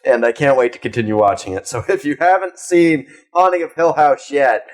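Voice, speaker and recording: A man's voice: mid-range voice, a little fast. Young man chatting casually on a podcast. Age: young adult. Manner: rambling, frequent swearing. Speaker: podcast co-host. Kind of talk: casual chat.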